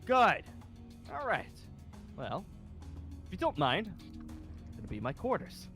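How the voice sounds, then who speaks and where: A man playing a pompous, commanding colonel of a survivor base in an improvised Minecraft zombie roleplay, with halting, theatrical delivery. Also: high voice, huge pitch swings, fairly bright tone, faint music behind, exaggerated voice. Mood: stern, commanding.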